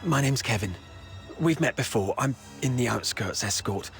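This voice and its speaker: An actor playing a young town escort guard in a video game, anxious, chatty and world-weary but soft-hearted, explaining things conversationally. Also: male voice, mid-range voice, lively intonation, normal speed, soft background music. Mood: earnest, uneasy.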